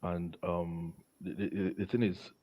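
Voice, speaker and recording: A man on a casual football panel, talking haltingly and often repeating the starts of his words. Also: deep voice, flat delivery, mellow. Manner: halting, stuttered word starts. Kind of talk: casual sports discussion.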